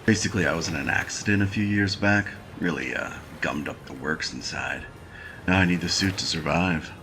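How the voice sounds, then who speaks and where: Gravelly, weary noir game engineer, male. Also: low-pitched, a little fast, sharp tone, a little hiss or hum. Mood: hesitant explaining. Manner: hesitant, wry, muttering asides. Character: weary, dry-witted mechanical engineer in a life-support suit. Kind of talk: noir point-and-click game acting. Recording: music behind the voice.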